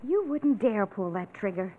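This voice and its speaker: An actress playing an earnest, headstrong young daughter desperate to get away, in an old-time radio drama. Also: female voice, medium pitch, lively intonation, normal speed, close to an everyday voice. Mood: defiant. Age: young adult. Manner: earnest, defiant. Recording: tinny, muffled vintage radio recording.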